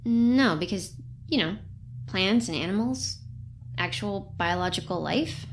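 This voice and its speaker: A woman's voice: medium pitch, lively intonation, unhurried. A woman playing an earnest, plucky young science intern in an audio drama, matter-of-fact and polite. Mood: explanatory. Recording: clear background noise.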